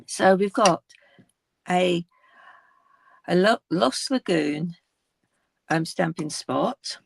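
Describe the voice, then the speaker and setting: An older woman with a croaky, gravelly voice talks slowly through a craft demonstration. She unpacks a card kit in a chatty, unhurried way, naming each piece as she goes. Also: on the low side, a little hiss or hum. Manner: chatty, unboxing items one by one. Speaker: craft video host.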